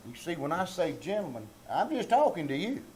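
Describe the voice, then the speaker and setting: A man's voice: fairly high pitch, big pitch swings, brisk, a little mellow. A man playing a self-important, scheming rural old-timer with a Southern American accent, folksy and mock-formal, in a radio comedy reenactment. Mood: pompous. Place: small-town theater stage before an audience. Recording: live theater recording with background noise.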